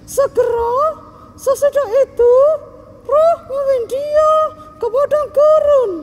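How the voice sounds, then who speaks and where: A woman voicing an upset little girl pupil in a Sunday school skit, with a high childlike voice and drawn-out words. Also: big-room sound, a little hiss or hum.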